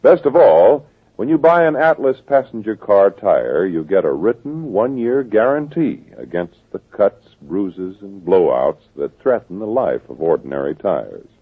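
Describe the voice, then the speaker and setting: A man announcing scripted commercials and credits on an old radio show in a smooth, persuasive way. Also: on the low side, big pitch swings, a little slow. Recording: tinny old radio broadcast with music.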